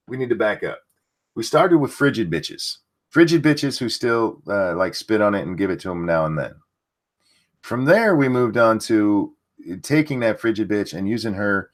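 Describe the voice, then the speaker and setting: An older man hosting a live stream, his low voice leaping about in pitch as he mocks an article. His commentary is crude and sarcastic, heavy with swearing and scoffing asides to chat. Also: expressive, moderate pace. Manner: reads chat and an article aloud. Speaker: livestream host.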